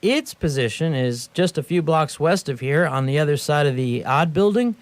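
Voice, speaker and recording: Guy as dutiful cop sergeant reporting in, kinda high voice, dry sound, radio drama. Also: lively intonation, normal speed, no echo, lightly acted. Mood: apologetic, businesslike. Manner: formal, procedural, slightly hesitant. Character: dutiful police sergeant, apologetic and matter-of-fact. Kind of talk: radio play acting.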